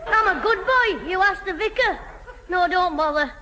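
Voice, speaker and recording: A very high, piping schoolboy voice with a Northern English accent, cheeky and mock-innocent. It is old radio comedy, recorded in a reverberant hall with an audience and plenty of hiss. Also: animated, moderate pace, sharp tone, heavily acted. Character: cheeky, scheming schoolboy with a smart answer for everything. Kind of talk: 1970 British radio sitcom acting.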